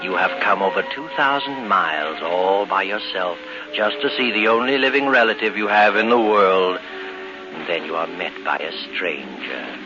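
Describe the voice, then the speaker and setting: A muffled 1940s radio broadcast with loud music behind the voice. a sinister, all-knowing storyteller with a low voice. He taunts and mocks with ominous, theatrical phrasing. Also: animated, normal speed. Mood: mocking. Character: sinister, mocking, all-knowing male narrator of dark tales. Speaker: narrator and host of a mystery series. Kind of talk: 1940s radio mystery narration.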